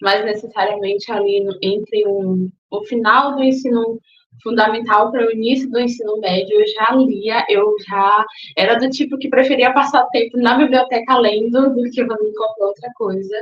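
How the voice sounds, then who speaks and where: A woman's voice: fairly high pitch, fairly even intonation, moderate pace. A young woman from Salvador, Bahia, answering interview questions in a thoughtful way with some hesitation. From Salvador, Bahia, Brazil. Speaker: guest, book influencer. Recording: echoey, dull lo-fi recording.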